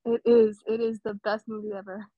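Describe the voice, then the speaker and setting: Casting: young American woman, talkative podcast guest, a little high, dry and slightly muffled. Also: level tone, fairly quick, dry recording. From United States. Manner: chatty, laughs easily, lots of fillers. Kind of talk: casual interview chat.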